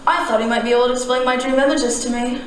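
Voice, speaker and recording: A woman's voice: high-pitched, steady tone, recorded from a distance, slight background noise, lightly acted. Aloof young artist in a very echoey space, acted by a woman. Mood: dreamy, intense. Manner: measured, philosophical, formal. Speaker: amateur stage actor. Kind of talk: radio-style stage drama.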